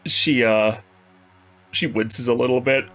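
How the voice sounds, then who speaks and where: A man playing a tabletop game, narrating his character in the third person in a hesitant, deliberate way. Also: medium pitch, steady tone, a bit bright, soft background music.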